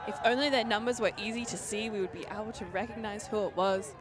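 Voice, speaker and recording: A woman's voice: medium pitch, fast, a crowd in the background. A woman giving light, joking color commentary at an outdoor tournament. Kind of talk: live sports commentary.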